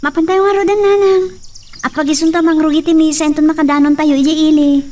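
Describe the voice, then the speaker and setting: Woman acting very high-voiced anxious young Ilocano daughter. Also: steady tone, fairly quick, mellow, close to an everyday voice. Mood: dutiful, gentle. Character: anxious young unmarried daughter of poor farming family. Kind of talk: radio drama acting. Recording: distant microphone sound.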